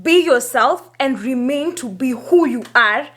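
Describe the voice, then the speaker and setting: A hoarse woman, chatting upbeat to her viewers in a casual vlog, laughing often between phrases. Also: high-pitched, animated, measured pace, a bit bright. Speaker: YouTuber. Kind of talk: casual vlog talk to camera.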